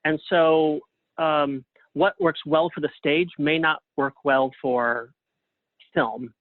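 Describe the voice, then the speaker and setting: A man's voice: fairly high pitch, steady tone, dry recording. Man on a thin phone line. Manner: halting, thinking aloud. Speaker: guest playwright and librettist. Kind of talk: conversational interview answers. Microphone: phone or call line.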